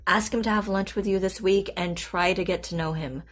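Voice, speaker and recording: An actress playing a calm, patient therapist in an audio drama, composed and deliberate in her delivery. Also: medium pitch, close to an everyday voice. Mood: calm, persuasive. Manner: composed, deliberate, clinical, reassuring. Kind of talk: naturalistic audio drama acting.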